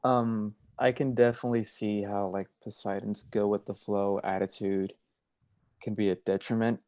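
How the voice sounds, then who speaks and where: Male cast member in an online chat, lowish voice, dry sound, halting casual delivery. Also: little pitch movement, a little mellow, no room sound. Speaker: actor in a cast Q and A. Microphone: video call.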